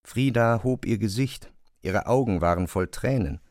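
A man's voice: lowish voice, normal speed, a little mellow. A man narrating literary fiction in a scripted audiobook style.

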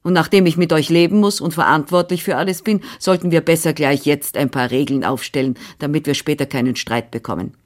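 A woman's voice: low voice, brisk, few pauses, lightly acted. A woman reading the part of a stout older housekeeper who is firm, chatty and managing. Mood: bossy.

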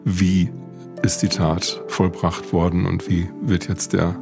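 A man speaking as an expert guest, explaining things in a measured, academic way. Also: deep voice, flat delivery, vocal fry, music in the background. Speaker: expert guest, literature professor. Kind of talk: explanatory interview talk.

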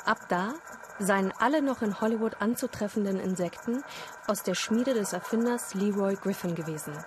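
Woman, medium pitch, scripted narration, documentary style, faint background noise. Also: moderate pace.

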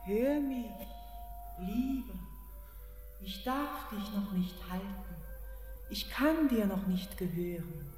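An actress playing an alluring spirit queen of the mine, speaking in a hushed, coaxing way. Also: medium pitch, lively intonation, slow pace, long pauses. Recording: roomy echo, long reverb, music beneath.

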